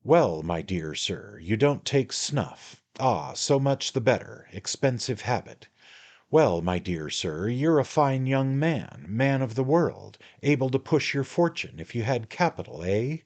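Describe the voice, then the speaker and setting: A man's voice: medium pitch, sing-song, a little slow, darkish tone, pitched above the performer's own voice. A man voicing a bustling little male attorney from a storybook, ingratiating and coaxing, forever calling the listener "my dear sir." His voice sits well above his own.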